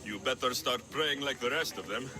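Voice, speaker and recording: A man's voice: mid-range voice, normal speed, lightly acted. A man playing a blunt, skeptical mercenary with a protective streak in a video game, speaking firmly. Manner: blunt, firm. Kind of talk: video game cutscene acting.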